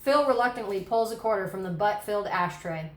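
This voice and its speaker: A woman's voice: mid-range voice, animated, a little fast. A woman reading scene directions as scripted narration at a screenplay table read. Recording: roomy echo.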